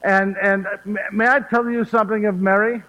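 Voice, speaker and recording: A man's voice: high voice, level tone, normal speed, pushed and bright. A man playing Joseph, fond storyteller. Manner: folksy, laughing, asks "yes?" often. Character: warm old Joseph of Nazareth, chatty storyteller. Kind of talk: one-man stage monologue. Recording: hall reverb.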